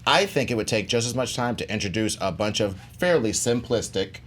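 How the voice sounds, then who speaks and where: A man's voice: lowish voice, lively intonation, a little fast, fairly bright tone, slight background noise. A man debating informally as a contestant, slangy and emphatic, repeating phrases for punch. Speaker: debate contestant on a movie debate show. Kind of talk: informal pop-culture debate.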